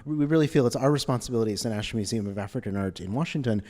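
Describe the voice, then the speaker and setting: Museum panelist, man, mellow and earnest, hall echo. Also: mid-range voice, rapid-fire. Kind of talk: panel discussion. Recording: echoey hall.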